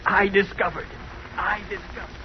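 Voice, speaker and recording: An actor playing an obsessed young student inventor, gloating and feverish, in old-time radio melodrama on a muffled, noisy recording. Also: male voice, high-pitched, little pitch movement, normal speed, lightly acted. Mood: gloating, triumphant. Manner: feverish, awestruck, repetitive exclaiming.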